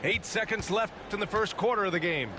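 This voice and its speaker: Man acting as excitable TV basketball play-by-play announcer, high-pitched, crisp clipped calls, video game broadcast, loud arena noise. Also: brisk, lightly acted. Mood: brisk, upbeat. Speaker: play-by-play commentator. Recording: game audio under loud crowd and court noise. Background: arena crowd, bouncing basketball.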